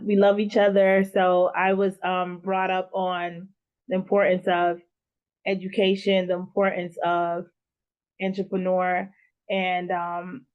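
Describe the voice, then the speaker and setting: A woman from Guyana, a guest founder, talking in an earnest interview manner with pauses and fillers. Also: fairly low pitch, phone-quality sound. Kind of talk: casual interview talk.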